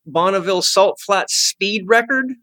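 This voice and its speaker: A man's voice: high voice, unhurried, sharp tone. A middle-aged man hosting a podcast, chatting with enthusiasm and rambling into stories. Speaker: podcast host. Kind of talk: casual interview chat. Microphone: podcast microphone.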